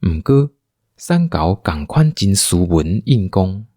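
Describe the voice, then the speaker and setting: A husky male storyteller with a Taiwanese accent narrates a radio drama. His delivery is highly expressive and the recording is dry. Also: slow, dry recording.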